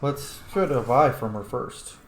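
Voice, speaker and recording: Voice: mid-range voice, expressive, unhurried. Casual game streamer in a roomy space. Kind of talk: casual gameplay commentary. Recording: roomy, faint noise.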